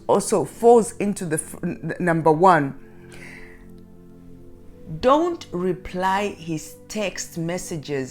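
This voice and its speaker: A middle-aged woman giving relationship advice straight to the listener in an emphatic, instructive way, repeating her key points. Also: mid-range voice. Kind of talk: direct-to-audience advice talk.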